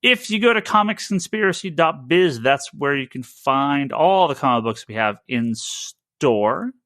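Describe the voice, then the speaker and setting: A man hosting a podcast, chatting informally and rambling through shout-outs and plugs. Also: on the high side, expressive, measured pace, no echo, studio quality. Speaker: podcast host.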